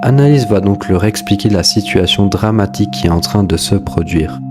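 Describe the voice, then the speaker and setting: A man's voice: on the low side, normal speed, music in the background. A man giving scripted explanatory narration as a video host.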